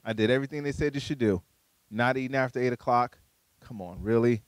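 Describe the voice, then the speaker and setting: A man in his forties doing a stand-up comedy set on a small studio stage with a handheld mic, talking conversationally with playful asides and high-pitched voices for punchlines. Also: fast, no echo. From Chicago, USA. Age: 40s.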